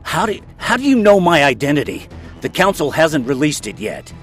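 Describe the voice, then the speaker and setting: A man's voice: on the high side, sing-song, moderate pace, fairly bright tone, faint music behind. A man playing a protective, defiant superhero father in an audio drama. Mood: tense, pleading.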